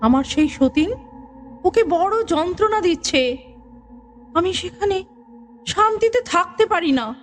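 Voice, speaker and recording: Woman acting slow, high-voiced ghostly mother. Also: expressive, slight background noise. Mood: anguished, determined. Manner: slow, solemn, insistent. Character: ghost of a dead mother, sorrowful and fiercely determined. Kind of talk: dramatized horror audio story.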